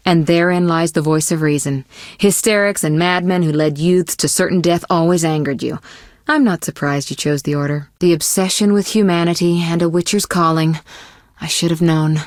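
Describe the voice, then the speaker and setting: A woman acts out a poised young sorceress for a video game, her voice on the low side and recorded dry and close. She speaks in measured, articulate phrases, gently chiding. She sounds worldly and caring, with a teasing, possessive edge. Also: no echo, lightly acted. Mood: calm, measured. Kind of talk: scripted video game voice acting.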